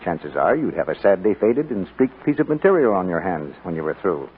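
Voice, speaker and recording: Animated low-voiced old-time radio announcer. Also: male voice, a little fast, breathy edge. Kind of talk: scripted 1940s radio commercial. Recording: muffled 1940s radio recording.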